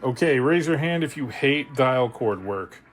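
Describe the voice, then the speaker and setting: A man's voice: medium pitch, lively intonation, unhurried, faint music behind. A man talking casually and playfully, with enthusiasm, as he hosts a hands-on radio restoration vlog. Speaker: host of a radio repair channel.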